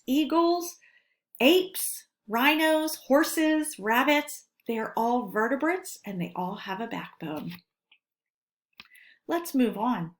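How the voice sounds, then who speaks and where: A woman teacher reading a lesson aloud to children, speaking deliberately and instructively and stressing key words. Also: fairly high pitch. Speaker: elementary school teacher.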